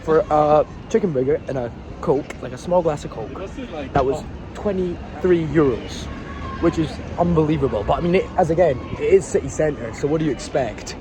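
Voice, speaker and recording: A man's voice: a little high, moderate pace. A young man, chatting casually and rambling as he vlogs his day trip, swearing now and then. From Scotland. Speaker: travel vlogger. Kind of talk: casual vlog narration. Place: outdoors in a windy coastal town and city streets. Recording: noisy outdoor phone vlog.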